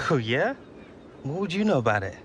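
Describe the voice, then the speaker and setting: An actor playing a guarded, troubled young pro footballer in a video game cutscene, understated and hesitant. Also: male voice, a little high, big pitch swings, normal speed, a bit bright, a little hiss or hum. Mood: sceptical. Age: young adult.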